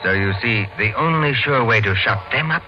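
Contemptuous, expressive villain played by a man, muffled. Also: medium pitch, moderate pace, noisy background, natural voice. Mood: mocking menace. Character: cool, contemptuous male ventriloquist, menacing and mocking. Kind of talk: old-time radio thriller acting. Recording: muffled old radio, music behind.

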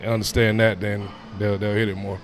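A man's voice: fairly low pitch, brisk, soft background music. A man in his thirties talking casually as a personal trainer being interviewed, informal, often dropping in "man" and "you know". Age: 30s. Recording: clear steady background noise.

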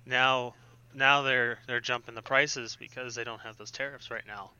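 A man's voice: mid-range voice, steady tone, moderate pace, bright, forceful tone, smooth, lo-fi sound. A man co-hosting a casual podcast, earnestly explaining tech topics as a self-described audiophile. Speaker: podcast co-host.

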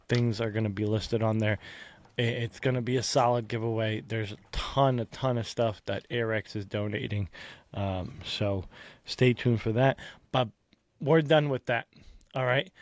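Casting: male podcast host, mid-pitched, rambling and loose, dry close recording. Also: no echo. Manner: loose, rambling, lots of filler words. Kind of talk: casual podcast chat.

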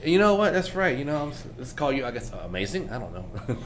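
Animated laughing male podcast host. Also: mid-range voice, quick pace. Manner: laughs often, animated storyteller. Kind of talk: casual chat, storytelling.

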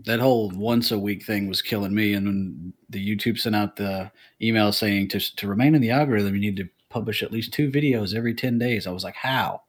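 A man's voice: fairly low pitch, brisk, hardly any pauses. A man joking wryly in a casual podcast chat. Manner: joking, wry.

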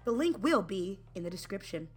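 A woman's voice: medium pitch, very animated, normal speed, a little mellow, slightly rough, soft background music. A woman co-hosting a casual podcast, playful and bubbly, often tossing in sound effects and little sung bits. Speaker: podcast co-host.

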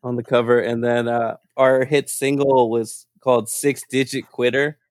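A man's voice: medium pitch, flat delivery, normal speed, no room sound, clean studio sound. A man in his thirties hosting a casual chat, telling stories playfully, laughing and swearing freely. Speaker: host.

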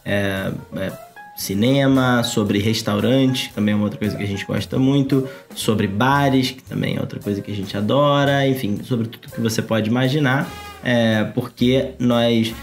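Podcast co-host: Carioca-accented man, mid pitch, wry and measured, soft music behind. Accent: Carioca Portuguese. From Rio de Janeiro, Brazil. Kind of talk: casual chat.

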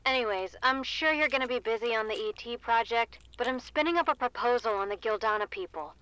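A woman's voice: a little high, a little fast, bright, forceful tone, smooth, rough recording. A woman playing a breezy, businesslike researcher in an audio drama, chatting casually as if leaving a phone message. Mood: casual, friendly.

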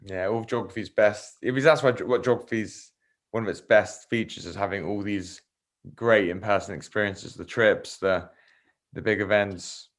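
A man's voice: fairly low pitch, fairly even intonation, normal speed. A man speaking as the host of a casual interview, putting questions to a guest in conversational style.

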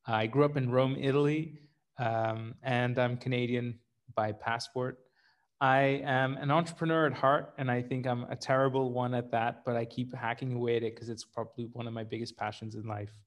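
A man's voice: mid-range voice, steady tone, normal speed. A middle-aged man from Sri Lanka co-hosting a casual podcast, self-deprecating and wry, with many fillers. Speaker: startup co-founder, podcast host.

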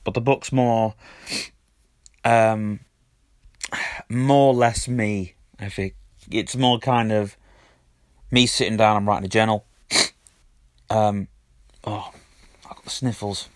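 A man hosting his own audio blog, his voice husky from a cold, chatting and rambling with lots of ums. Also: fairly low pitch, no echo, studio quality. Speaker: podcast host. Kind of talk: casual solo audio blog.

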